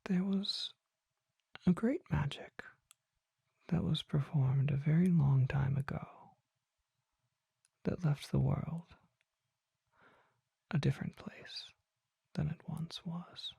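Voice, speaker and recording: A performer voicing a mysterious, courtly vampire apothecary in a breathy, formal ASMR whisper, close to the microphone. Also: medium pitch, dry recording, full character voice. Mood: hushed, reverent. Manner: slow, formal, deliberate, hushed.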